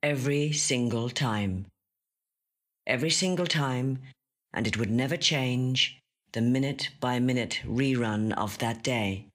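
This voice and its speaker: A woman actor reads a literary short story in a dramatic, measured and deliberate narrating style, recorded in a studio. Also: rumbling low. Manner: measured, deliberate, repeating phrases for weight.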